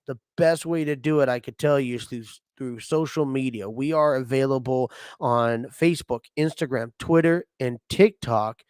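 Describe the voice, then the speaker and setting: A man hosting a sports podcast in a casual, rambling way with many fillers, his voice slightly hoarse from a cold. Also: mid-range voice, a little mellow, no echo. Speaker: podcast host.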